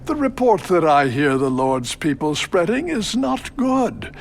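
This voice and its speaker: Frail old priest Eli. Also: male voice, on the high side, very animated, unhurried. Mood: pleading. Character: aged grieving priest Eli, old man. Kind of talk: audio Bible drama.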